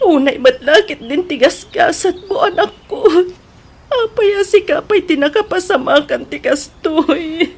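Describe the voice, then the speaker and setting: A woman's voice: very high-pitched, lively intonation, unhurried, heavily acted. A woman playing an anxious, tender, devout mother in an Ilocano radio drama, with an Ilocano accent and a plaintive, fretful delivery. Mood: sorrowful, tender.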